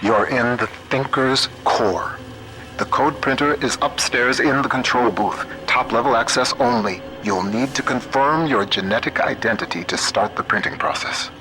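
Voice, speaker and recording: A man playing an earnest, world-weary computer scientist in a dystopian video game, speaking in a measured, folksy, plain way. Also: mid-range voice, over music, natural voice. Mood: urgent, guiding. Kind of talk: video game voice acting, radio messages and audio diaries.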